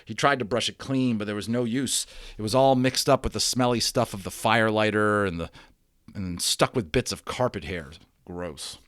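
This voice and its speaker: A man's voice: fairly low pitch, moderate pace, fairly bright tone, no echo, clean studio sound. A man reading a children's novel aloud as a dramatic narrator, theatrical, with informal asides to his listeners. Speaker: storybook reader.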